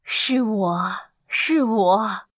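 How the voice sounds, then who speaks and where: Woman playing a very slow, gentle old grandmother. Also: medium pitch, dry recording. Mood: tender. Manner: tender, slow. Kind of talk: children's storybook character voice.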